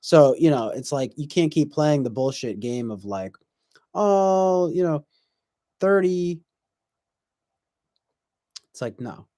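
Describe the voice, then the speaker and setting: A man's voice: a little high, lively intonation, slow, long pauses, dark tone, no echo, clean studio sound. A man in his twenties chatting casually on a stream, reflective and rambling, with many fillers and restarts. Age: 20s. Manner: reads viewer comments aloud. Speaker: livestream host.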